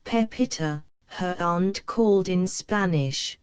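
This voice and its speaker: A woman narrating a children's storybook in a slow, clear read-aloud manner. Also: on the low side, no room sound.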